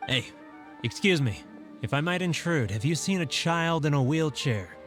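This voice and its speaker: Game casting: polite worried townsperson, raised pitch. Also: male voice, lively intonation, moderate pace, fairly bright tone, faint music behind. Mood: concerned. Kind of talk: English video game voice acting.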